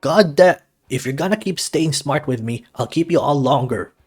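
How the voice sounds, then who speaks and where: A man voicing a small, gruff but big-hearted soccer coach in a visual novel, with a loud, emphatic, barking delivery. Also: a little high, lively intonation, moderate pace, faint music behind, pitched above the performer's own voice. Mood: exasperated, barking.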